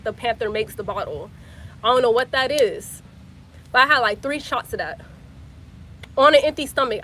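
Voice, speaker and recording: A woman's voice: on the high side, a bit bright, slight background noise. A woman, chatting casually with viewers on a phone livestream and repeating her phrases. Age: 20s. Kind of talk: casual live chat with viewers.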